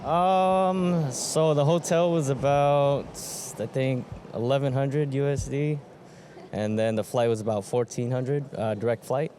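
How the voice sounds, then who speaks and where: Easygoing guy from san francisco, mellow tone, lots of ums, faint street noise. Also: mid-range voice, measured pace. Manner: relaxed, hesitant with ums. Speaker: interviewee. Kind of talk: casual street interview. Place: city street outdoors.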